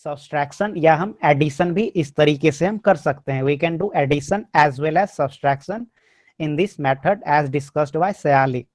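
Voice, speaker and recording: A man's voice: a little high, rapid-fire. A man with an Indian accent teaching a maths class online over a computer mic, switching between English and Hindi and repeating his points.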